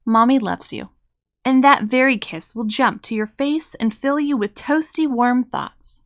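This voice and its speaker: Mother raccoon read by a woman, higher register, tender and reassuring, for a children's storybook. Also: a little slow, dry recording, clean studio sound, lightly acted. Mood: warm, teasing. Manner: gentle, soothing, reassuring. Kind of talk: children's storybook character reading.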